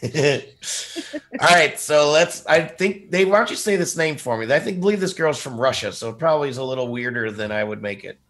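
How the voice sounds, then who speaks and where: A man's voice: a little high, a little fast, fairly bright tone. A man hosting a live indie music show, chatting in an informal, joking way. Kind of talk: casual live-stream chat.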